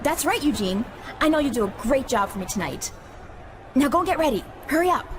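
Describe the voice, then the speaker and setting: A woman's voice: high-pitched, big pitch swings, brisk. A woman playing a manipulative, mocking young wrestling diva in a video game cutscene, sugary and taunting. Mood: coaxing. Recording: clear background noise.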